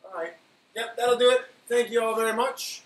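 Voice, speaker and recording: A man's voice: very high voice, moderate pace, fairly bright tone. Offhand man in an echoey room. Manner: offhand, thinking aloud. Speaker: live stream host. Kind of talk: casual live stream chat. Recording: roomy echo, faint hiss.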